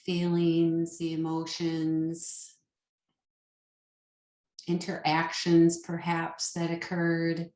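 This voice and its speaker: A woman guides a meditation in a roomy, echoing space. Her voice sits a little low and she speaks very slowly. She is soothing and unhurried, leaving pauses between short phrases. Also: completely flat delivery, warm tone. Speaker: yoga nidra meditation teacher.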